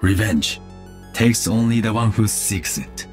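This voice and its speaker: An actor playing a calm, earnest cyborg ninja with dry humour, speaking measured, solemn video game lines. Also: male voice, on the low side, faint music behind, lightly acted.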